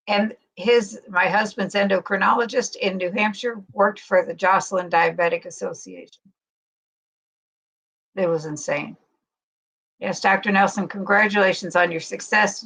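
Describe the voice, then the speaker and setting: A woman's voice: medium pitch, fairly bright tone, low-quality recording. A woman hosting a live stream, chatting enthusiastically and reading out viewer comments.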